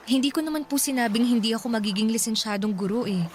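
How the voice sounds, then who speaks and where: Casting: actress as grieving young adult daughter, Filipino accent, fairly high voice, polite and pleading, radio drama with audible ambience. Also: female voice, fast, a little mellow, close to an everyday voice. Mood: pleading, sad. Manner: respectful, pleading. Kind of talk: Tagalog radio drama acting. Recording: noticeable background ambience noise.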